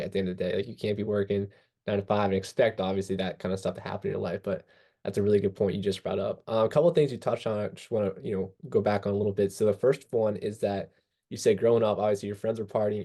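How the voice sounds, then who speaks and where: A man's voice: on the low side, mellow. A man interviewing casually as a podcast host, affirming the guest and recapping informally before his questions.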